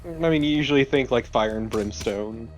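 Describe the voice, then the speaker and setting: A man's voice: medium pitch, normal speed. Wry man chatting over game music. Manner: wry, joking. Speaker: let's play co-commentator. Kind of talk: casual gameplay commentary chat. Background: video game music.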